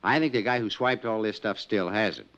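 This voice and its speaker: A man's voice: lowish voice, fairly quick, a bit bright. An actor playing a genial, talkative middle-aged insurance man in a 1950s radio drama, with a folksy, chatty way of talking. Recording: muffled old radio broadcast.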